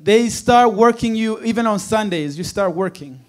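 Church interpreter, male, very high voice, halting, many restarts. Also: expressive. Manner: hesitant, with many restarts and fillers. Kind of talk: interpreting a sermon phrase by phrase.

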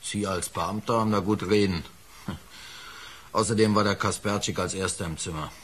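A man's voice: on the low side, fairly even intonation, moderate pace, a bit bright, a little hiss or hum, natural voice. An actor playing a plain-spoken, defensive working man who talks bluntly and colloquially in a radio play. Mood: guarded, defensive.

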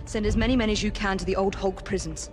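A woman's voice: mid-range voice, fairly even intonation, fast, lightly acted. An actress with an English accent playing a determined, intense Victorian woman assassin in a dramatic video game, speaking in a clipped manner. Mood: urgent. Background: music.

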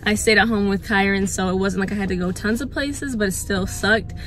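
Brief: woman in her 20s, casual car vlog, earnest rambling chat, mid pitch, phone mic in a parked car. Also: fairly even intonation, a little fast, faint music behind. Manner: chatty, earnest, many fillers and repeats. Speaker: vlogger. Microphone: phone held at arm's length or mounted on dashboard.

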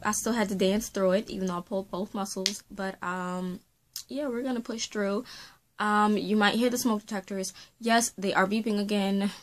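A woman in her late twenties, a faith and beauty vlogger, talking casually to camera from a small room at home, rambling and gesturing, her throat sore after being sick. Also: mid-range voice. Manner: rambling, talks with her hands. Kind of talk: casual chatty vlog to camera.

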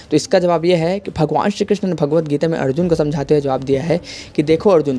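A man's voice: a little high, dark tone. An Indian man hosting a religious explainer voice-over in an earnest, didactic, repetitive manner. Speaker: YouTube explainer host. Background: music.

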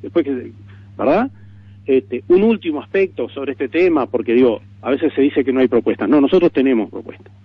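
A tinny, narrow radio signal carries a Uruguayan man's mid-pitched voice. He explains his points as an interviewee, deliberately, repeating words for emphasis. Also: expressive, slightly rough. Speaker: political interviewee.

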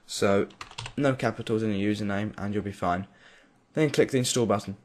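A man giving a clear step-by-step voice-over for a software tutorial. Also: fairly low pitch, normal speed. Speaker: tutorial creator. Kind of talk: screen-recorded software tutorial.

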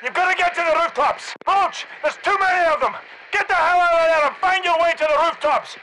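An actor playing a tough, urgent special forces squad leader in a video game, giving clipped, commanding military orders. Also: male voice, very high-pitched, a little fast, heavy background noise. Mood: desperate, urgent. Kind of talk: video game battle dialogue.

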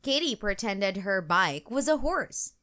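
Bright-voiced woman reading to children. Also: medium pitch, very animated, a little slow. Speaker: storytime channel narrator. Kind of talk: children's book read-aloud.

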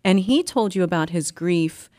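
A woman in her forties hosting a radio interview, measured in delivery, close on a studio microphone. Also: on the low side, animated, a little mellow, no echo. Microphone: studio microphone on boom arm, close.

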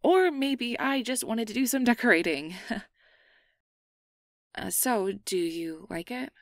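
Awkward changeling girl played by a woman for asmr rp, slowish n hesitant, lots of vocal fry, flustered, acts guarded but secretly soft hearted. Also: fairly high pitch, animated, no room sound, studio quality. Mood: bashful. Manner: hesitant, flustered, stammering, downplaying. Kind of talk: ASMR roleplay acting.

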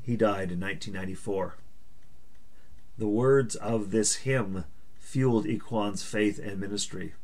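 A man with a fairly low-pitched voice hosts a church service. He delivers scripted narration and announcements. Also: a little slow, a little mellow, low-quality recording.

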